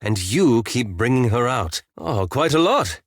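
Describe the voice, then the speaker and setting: An actor voicing a prim, bookish elf wizard in a fantasy video game, speaking formally and precisely with dry sarcasm. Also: male voice, mid-range voice, big pitch swings, unhurried, no room sound. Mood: irritated.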